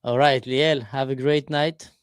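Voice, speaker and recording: A man's voice: mid-range voice, steady tone, unhurried, clear, resonant tone, dry recording, studio quality. A man in his forties from Israel, chatting with strangers on a livestream and asking lots of questions. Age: 40s. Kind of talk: casual video chat. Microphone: desk camera mic.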